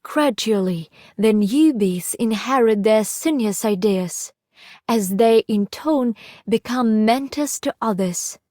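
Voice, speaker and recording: A woman voicing a calm, sincere, reflective veteran in a video game. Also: a little high, takes it slowly, dry recording, clean studio sound. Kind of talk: video game character voice acting.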